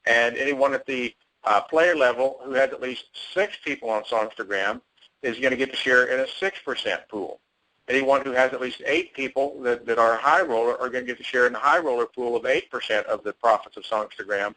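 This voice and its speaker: An American man giving an enthusiastic, salesy pitch on a conference call, repeating words for emphasis. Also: fairly quick, bright, forceful tone, rough recording. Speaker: company executive addressing network marketers.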